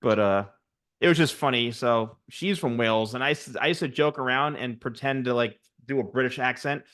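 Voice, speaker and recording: A man in his forties, hosting an interview and telling stories in a chatty, animated way. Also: mid-range voice, normal speed. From United States. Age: 40s. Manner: chatty, animated storyteller, many fillers. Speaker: host, barber coach. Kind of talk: casual interview chat.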